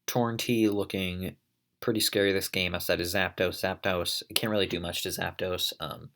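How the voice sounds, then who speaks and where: A man's voice: low-pitched, steady tone, moderate pace, a bit bright. A man commentating a competitive online battle in a casual play-by-play, congested from allergies, coughing and thinking aloud as he goes. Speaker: league commentator.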